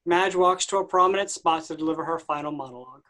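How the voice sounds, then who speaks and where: A woman with a low-pitched voice narrates a play. She reads scripted stage directions aloud between the actors' parts. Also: quick pace, a bit bright.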